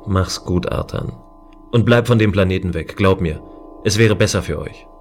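An actor playing a stern, distrustful guardian of a remote space station, speaking formally in a science fiction audio drama. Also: male voice, low voice, moderate pace, soft background music, natural voice. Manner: formal, warning.

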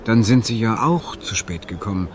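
Actor as a low-voiced, defensive lonely man. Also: animated, normal speed, a little hiss or hum, close to an everyday voice. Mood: awkward. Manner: hesitant, self-justifying. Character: defensive, lonely male dream-therapy client, awkward romantic. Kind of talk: German audio drama dialogue.

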